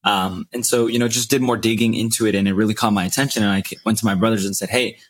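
Husky young man, early twenties. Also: on the low side, fairly even intonation, quick pace. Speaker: podcast guest, real estate investor. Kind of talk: casual conversational storytelling.

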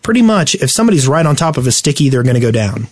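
Guy explaining game stuff on a podcast, kinda halting, dry sound no room. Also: medium pitch, very animated, no room sound. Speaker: podcast co-host. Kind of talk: casual explanatory gaming talk.

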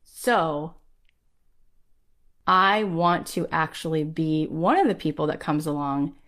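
A woman's voice: low voice, lively intonation, takes it slowly, fairly bright tone. A woman in her thirties, a podcast host giving an emphatic, rallying motivational talk in a conversational way.

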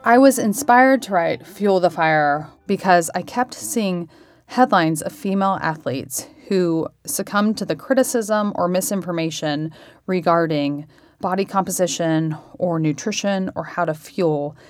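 A woman's voice: on the low side, unhurried, no echo, studio quality. Earnest woman author introducing her book. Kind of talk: scripted introduction.

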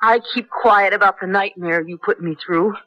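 An actress playing a bitter, defiant young American former prisoner, speaking with deliberate intensity in a 1970s radio drama. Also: female voice, mid-range voice, steady tone, no room sound, natural voice. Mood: grave defiance. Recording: dull vintage radio recording.

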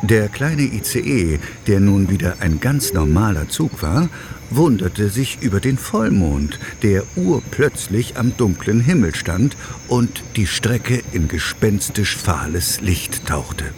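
A man's voice: fairly low pitch, sing-song, slow, background noise, exaggerated voice. A man narrating a children's audio drama as a dramatic, suspenseful storyteller.

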